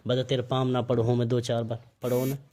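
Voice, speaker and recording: मेवाती लहजे में उलाहना देता आदमी. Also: male voice, mid-range voice, completely flat delivery, fairly quick, warm tone, slight background noise. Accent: Mewati. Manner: reproachful, repeatedly questioning. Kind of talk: private argumentative phone conversation. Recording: amateur phone call recording.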